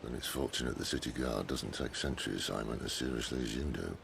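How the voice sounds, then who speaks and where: An actor playing a roguish, cheerful older mentor in a video game, speaking in a wry, breezy, mock-philosophical way. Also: male voice, extremely low pitch, fast talker, gravelly, noisy background. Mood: wry banter.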